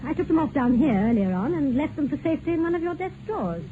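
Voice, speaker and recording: A woman's voice: high voice, big pitch swings, fast talker. An actress playing a genteel upper-class society lady, polite and anxious, in a 1950s radio drama. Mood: flustered alarm. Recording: noisy, tinny 1950s radio broadcast.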